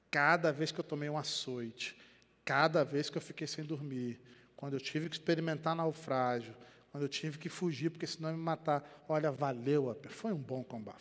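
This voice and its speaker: An older man from Rio de Janeiro preaching a sermon in Brazilian Portuguese on a headset mic in a church, animated, dropping to quiet and rising again. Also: on the high side. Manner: animated preaching with wide gestures, swings between quiet and loud. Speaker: pastor. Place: church sanctuary. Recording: long church hall reverb.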